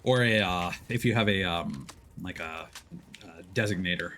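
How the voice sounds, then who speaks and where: A man's voice: sits low, normal speed, fairly bright tone, slight background noise. A man giving casual, playful gameplay commentary, with loose swearing and silly exclamations. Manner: playful, profane exclamations and sound words. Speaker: game streamer. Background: video game sound effects.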